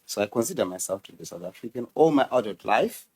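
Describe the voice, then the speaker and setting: Man from Zimbabwe, university official, formal testimony, halting, hesitant. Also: medium pitch, expressive, a little mellow, husky.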